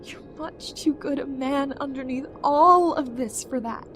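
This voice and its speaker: A woman's voice: sits high, unhurried, a bit bright. A woman playing a fierce, stubborn yet tender young survivor in an emotional audio drama, speaking with earnest, emphatic pleading. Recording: dramatic music behind the voice.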